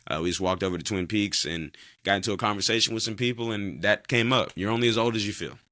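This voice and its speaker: A man's voice: on the low side, fast, pushed and bright, no room sound, studio quality. A man in his thirties hosting a podcast in casual chat, rambling and cursing freely, often trailing off mid-sentence. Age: 30s. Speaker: podcast host.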